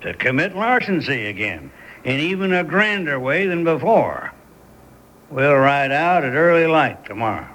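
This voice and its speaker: An actor playing a wary, dejected Old West sheriff in a radio drama, plain-spoken with a folksy American accent. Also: male voice, fairly high pitch, sing-song, measured pace, fairly bright tone, low-quality recording. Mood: suspicious. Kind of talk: 1970s Western radio drama acting.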